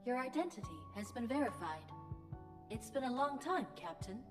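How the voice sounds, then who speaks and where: A formal starship computer. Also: female voice, a little high, very animated, moderate pace, big-room sound, music in the background. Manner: formal announcing.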